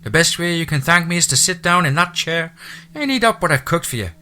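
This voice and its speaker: A chatty middle-aged Irish housekeeper with a thick, lilting brogue, motherly and busy. Her voice sits well above a low male register and rings bright, as a man does her voice in a storybook reading. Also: expressive, fairly quick. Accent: Irish (put on).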